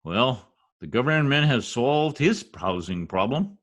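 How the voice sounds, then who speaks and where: Casting: male actor as blustery, slangy husband for radio comedy, exasperated, very lively intonation. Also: medium pitch, unhurried. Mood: grumbling, brash. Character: blustery, grumbling husband working as census taker. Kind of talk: old-time radio comedy acting.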